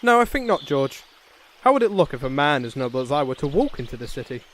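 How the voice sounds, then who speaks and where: A man's voice: mid-range voice, sing-song, fast talker, a bit bright. A man playing a pompous, stubborn noble knight in a comedy fantasy sketch, haughty and indignant. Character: pompous, blustering noble knight, proud and obstinate.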